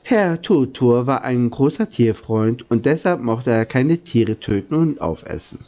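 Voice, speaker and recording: Mellow, sniffly man reading a story slowly. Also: mid-range voice, big pitch swings. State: sniffly with a cold. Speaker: storyteller reading a children's book aloud. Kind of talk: slow, informal audiobook-style reading.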